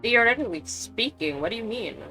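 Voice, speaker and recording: A woman's voice: on the high side, animated, brisk, pushed and bright, soft background music, poor audio. A woman game streamer reacting casually in short asides as she plays. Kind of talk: casual gameplay commentary.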